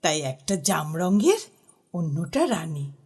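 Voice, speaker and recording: An actress playing a doting, chatty elder sister in an audio drama, affectionate and fussing. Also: female voice, deep voice, big pitch swings, slow pace, a little mellow, breathy edge, exaggerated voice. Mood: chatty, teasing. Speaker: supporting character.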